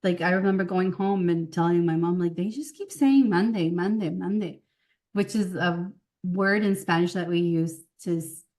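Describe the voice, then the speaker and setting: A woman's voice: lowish voice, steady tone, normal speed, darkish tone. A woman with a Californian accent, telling anecdotes in a casual, conversational interview as a guest speech-language pathologist.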